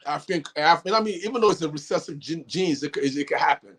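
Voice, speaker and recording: A man's voice: fairly high pitch, fast, fairly bright tone, husky. A middle-aged man from Nigeria, a company head answering interview questions in an earnest, explanatory way with many fillers and restarts. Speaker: company CEO, interview guest. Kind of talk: casual interview talk.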